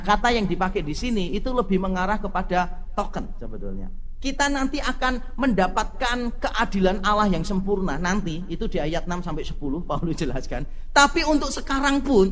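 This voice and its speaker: A man preaching an expository sermon in an animated, jokey way, with colloquial asides and rhetorical questions to his listeners. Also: high-pitched.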